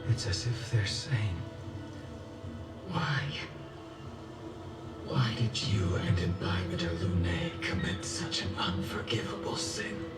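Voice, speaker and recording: An actor playing a bitter, haunted immortal swordsman in a video game, speaking slowly and gravely. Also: sits low. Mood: anguished, accusing. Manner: slow, grave, deliberate delivery. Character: brooding, tormented undying swordsman, bitter and haunted. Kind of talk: dramatic video game monologue. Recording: echoey, distant, under loud music.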